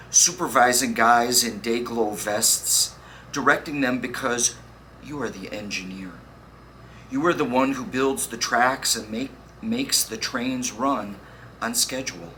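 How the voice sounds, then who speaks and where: A man reading poems aloud with deliberate, dramatic phrasing and pauses. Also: medium pitch, pushed and bright. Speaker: poetry reader. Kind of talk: spoken poetry reading. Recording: faint background noise.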